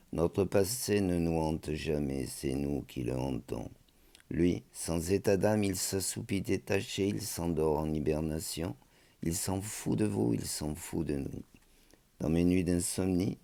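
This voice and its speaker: Deep, gravelly man reciting poetry. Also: dark tone. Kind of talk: poetry recitation, spoken word.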